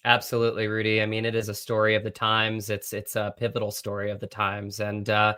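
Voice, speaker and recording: Bright, even-toned American man being interviewed. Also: on the low side, brisk, clean tone. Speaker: actor, interview guest. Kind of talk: conversational interview.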